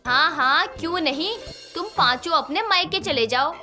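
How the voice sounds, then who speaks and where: A woman voicing a stern, sarcastic old Indian mother-in-law who scolds and bosses in an animated moral story. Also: sits high, moderate pace, full character voice. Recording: music bed behind the voice.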